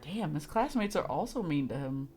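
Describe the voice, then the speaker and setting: A woman's voice: sits low, expressive, normal speed, music in the background. A woman giving casual live commentary as a game streamer, chatty and wry with a sarcastic streak.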